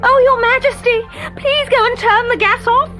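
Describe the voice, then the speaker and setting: A woman's voice: extremely high pitch, fairly even intonation, moderate pace, bright, forceful tone, faint music behind, pitched above the performer's own voice. A woman voicing a flustered, obliging male cook in a children's storybook, deferential and eager. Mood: panicked. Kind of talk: storybook character voice.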